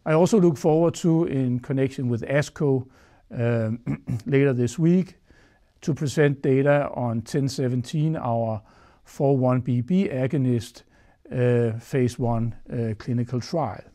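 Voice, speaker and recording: A man's voice: mid-range voice, unhurried, warm tone. A man, a chief executive giving an earnest corporate address with frequent fillers, recorded on a lapel mic in a studio. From Denmark. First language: Danish. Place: studio against a dark backdrop.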